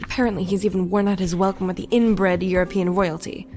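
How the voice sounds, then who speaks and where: An actress playing a sharp-tongued, bossy deputy mayor in an audio drama, with a clipped, imperious manner. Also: female voice, fairly low pitch, fairly even intonation, a little fast, faint music behind, lightly acted. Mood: brisk, irritated.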